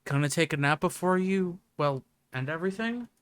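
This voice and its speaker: A young man chatting casually on a game stream, relaxed and agreeable, dropping in short fillers. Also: a little high, moderate pace, smooth. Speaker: streamer co-host.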